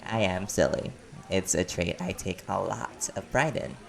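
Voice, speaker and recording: A man's voice: low-pitched, animated, unhurried, gravelly, loud music behind the voice, full character voice. An actor playing a playful, caring man in a chatty, teasing audio roleplay conversation. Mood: silly, theatrical. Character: silly, playful, caring man at a community party.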